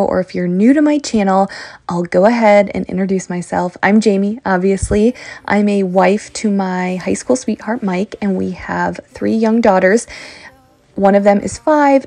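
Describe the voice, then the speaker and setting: A woman's voice: medium pitch, vocal fry, warm tone, no echo. A young woman narrating her cleaning vlog in a chatty, encouraging voice-over. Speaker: vlogger.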